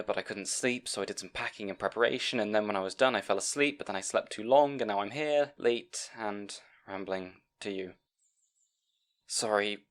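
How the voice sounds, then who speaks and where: A man's voice: lowish voice, a bit bright, close to an everyday voice. A man playing a timid, bookish young scribe in a fantasy audio roleplay, hesitant and apologetic, rambling and stammering. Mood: flustered, apologetic. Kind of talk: audio roleplay acting addressed to the listener.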